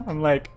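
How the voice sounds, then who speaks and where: A young man chats casually on a livestream in a quiet voice that doesn't carry far. He rambles, repeats phrases and breaks into laughter as he talks. Faint music plays underneath. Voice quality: quiet voice that does not project. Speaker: streamer.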